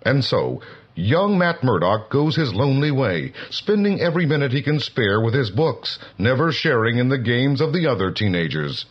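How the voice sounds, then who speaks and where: A man's voice: medium pitch, big pitch swings, normal speed, slightly rough. A man narrating a comic-book story from a script in a dramatic style. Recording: dull lo-fi, loud music behind.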